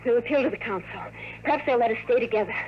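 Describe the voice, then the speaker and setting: A high-pitched, earnest heroine acted by a woman from a 1950s radio drama. She speaks with emotional urgency, like an agent in love, over faint old broadcast noise. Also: racing, dull audio, lightly acted. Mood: urgent explaining. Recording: noisy vintage radio recording.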